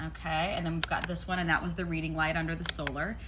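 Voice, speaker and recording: A woman's voice: deep voice, flat delivery, fast talker, noisy background. A woman giving a casual, chatty product demonstration, rambling a little as she thinks aloud. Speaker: product reviewer.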